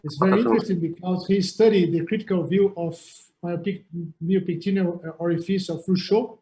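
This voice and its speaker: A man from Brazil with a Brazilian Portuguese accent, giving an online surgical anatomy lecture over a video call in halting English, often repeating words. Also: high voice, fairly even intonation, mellow, a little hiss or hum, rough recording. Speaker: surgeon lecturer.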